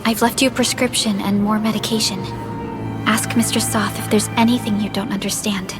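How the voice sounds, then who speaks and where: A woman playing a composed, caring young team supervisor in a video game, with a measured, polite, authoritative delivery. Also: medium pitch, little pitch movement, over music, lightly acted. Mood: kind, caring. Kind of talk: video game cutscene acting.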